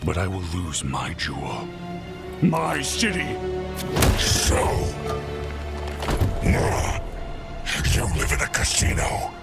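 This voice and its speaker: A menacing conqueror for a fantasy video game, his voice extremely low and full of grit. He speaks slowly and theatrically, savoring his threats like a lovestruck tyrant. Dramatic music plays behind him. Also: wildly swooping pitch, exaggerated voice. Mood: tormented, fervent. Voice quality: very deep, gravelly, breathy at times. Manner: slow, grandiose, silky menace. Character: menacing theatrical warlord conqueror, obsessively in love with a city. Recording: music under the voice.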